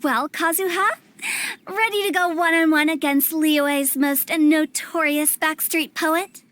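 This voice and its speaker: A woman's voice: high voice, unhurried. A woman voicing a playful, mischievous young funeral director with a teasing way of talking, in a video game cutscene. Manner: teasing, lively, rhyming banter. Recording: game audio with soft music behind.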